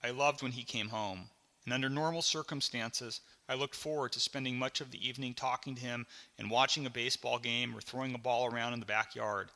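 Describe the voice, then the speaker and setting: Forceful, gravelly man narrating a memoir. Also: mid-range voice, steady tone, in a large hall. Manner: dramatic, deliberate storytelling. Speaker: author reading his memoir. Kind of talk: scripted audiobook narration.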